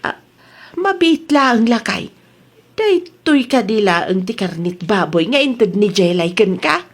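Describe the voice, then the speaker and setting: A woman playing a chatty, evasive village wife in an Ilocano comedy audio drama, exclaiming and quick to retort. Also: medium pitch, very animated. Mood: fretful.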